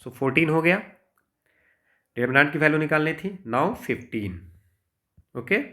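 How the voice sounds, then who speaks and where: Higher-pitched Indian man teaching maths. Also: animated, moderate pace, pushed and bright. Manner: explanatory, with frequent check-in tags. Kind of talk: informal exam-prep lesson, working through problems step by step.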